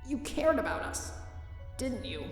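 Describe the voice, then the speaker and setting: A woman's voice: a little high, big pitch swings, measured pace. A woman playing an innocent, hopeful young skeleton boy in a comic dub, earnest and pleading. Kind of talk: dramatic fan comic dub. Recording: distant, very echoey reverb under music.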